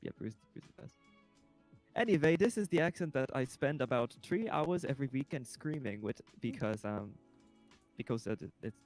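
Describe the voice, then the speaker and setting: A man's voice: mid-range voice, lively intonation, normal speed, a little mellow, faint music behind. A man chatting on a livestream as a voice actor, rambling and correcting himself as he explains how he builds his character accents. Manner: rambling, with many ums and self-corrections. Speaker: streamer and voice actor. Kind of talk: casual livestream chat.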